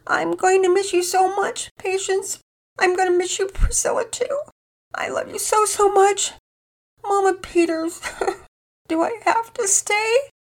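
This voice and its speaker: Woman doing a tearful little girl. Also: unhurried. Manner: high, wavering, pleading. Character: young girl, tearful and clingy.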